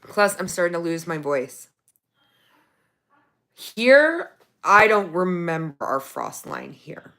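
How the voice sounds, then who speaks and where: A woman hosting a casual livestream from her phone, reading viewer comments, her voice going raspy from talking so long. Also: fairly low pitch, slow pace, pauses often. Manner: chatty, excited when thanking viewers for gifts. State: losing her voice, getting raspy. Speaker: livestream host.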